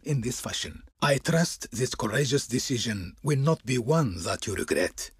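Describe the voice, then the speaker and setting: A servile envoy of Pharaoh in a strategy video game. His voice is very breathy and rasping, rising and falling theatrically with ceremonious pomp. The recording is dry and close. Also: mid-range voice, no room sound, full character voice. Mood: coldly disapproving. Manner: pompous, ceremonious, obsequious. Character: servile, smooth-tongued Egyptian diplomat of Pharaoh, pompously reverent.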